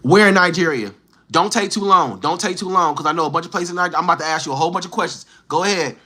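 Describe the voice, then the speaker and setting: A man's voice: on the high side, very fast, pushed and bright. A man livestreaming, bantering with and teasing his chat in slangy street talk, firing challenging questions.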